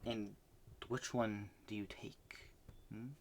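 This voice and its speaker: A man casually recapping the manga he read this month, rambling with many fillers and restarts, swinging between loud bursts and soft mumbles. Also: fairly low pitch, flat delivery, takes it slowly, a little hiss or hum. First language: not Japanese. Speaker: manga reviewer.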